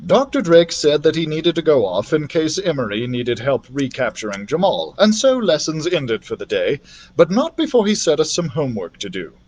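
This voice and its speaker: A man reads a children's fantasy tale aloud in a fairly high male voice. His delivery is very lively and varied, the expressive style of a storyteller who acts out the characters. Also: normal speed. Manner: animated, acts out the characters' dialogue. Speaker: library storyteller reading a children's novel aloud.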